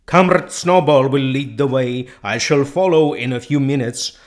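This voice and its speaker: A man voicing a domineering boar leader in a storybook reading, with loud, commanding oratory. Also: mid-range voice, normal speed, pitched above the performer's own voice, lightly acted.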